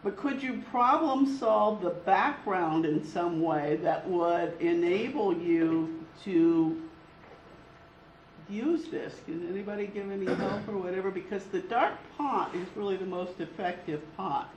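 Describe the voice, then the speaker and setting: A teacher talks through a critique of a student's work, thinking aloud and halting along the way. The voice sits at a middle pitch. It echoes around the room, with faint noise behind it. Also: dull audio. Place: classroom.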